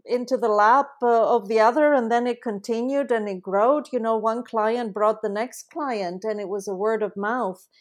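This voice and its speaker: A middle-aged woman answering interview questions in a relaxed conversational way, pausing often with fillers and restarting her sentences. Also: fairly high pitch. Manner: hesitant, many fillers and restarts. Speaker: guest, translation company founder.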